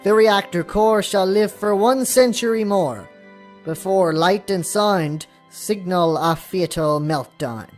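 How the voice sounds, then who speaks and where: A woman's voice: medium pitch, slow, a little mellow, lightly acted. A woman acts out an uncanny boy prophet in an audio drama, speaking as if an older man had taken over. The words come portentous and commanding, with faint music behind. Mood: ominous, commanding. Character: eerie prophetic boy possessed by an older man's voice.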